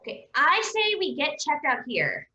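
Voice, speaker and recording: Woman acting a bright, high, exasperated heroine. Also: wildly swooping pitch, moderate pace, roomy sound, muffled recording, full character voice. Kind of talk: staged reading of a comic play.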